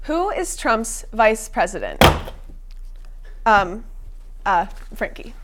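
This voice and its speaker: A young woman with a slightly high voice hosts a student-made quiz show in a college TV studio. She talks in an upbeat way but stumbles now and then, with ums, uhs and restarts as she explains the rules. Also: big pitch swings, slow pace, very noisy. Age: young adult. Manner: upbeat, with frequent fillers and restarts. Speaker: game show host.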